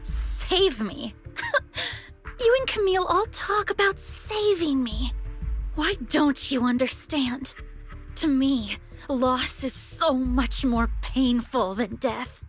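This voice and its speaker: A woman's voice: high voice, fairly even intonation. An actress playing a bitter, grieving young woman raised in a cult, wounded and resentful, in a dramatic video game scene. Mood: scornful, pained. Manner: slow, deliberate, wounded and resentful. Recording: music behind the voice.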